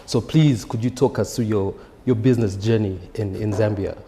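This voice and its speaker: A man's voice: lowish voice, fairly even intonation, normal speed, dark tone, a little hiss or hum. A man hosting an interview show, welcoming a guest and asking questions, with short acknowledgements in between. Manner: brief prompts and acknowledgements. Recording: long reverb, faint music.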